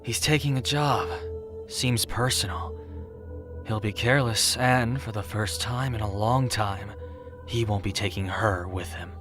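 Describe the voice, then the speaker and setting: A man's voice: lowish voice, a little slow, over music, close to an everyday voice. A man playing a brooding, guarded, serious death god in an audio drama, speaking in a terse, dry, earnest way. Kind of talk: audio drama character acting.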